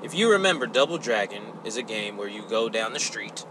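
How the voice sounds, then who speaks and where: A man's voice: on the low side, very animated, a little fast, noisy background. A man co-hosting a podcast, chatting casually and exclaiming often. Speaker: podcast co-host. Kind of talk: casual chat.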